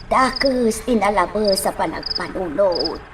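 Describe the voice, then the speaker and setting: A woman's voice: mid-range voice, animated, slow pace, very noisy. A woman acting a worried, sleepless woman in an Ilocano radio drama. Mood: anxious, weary.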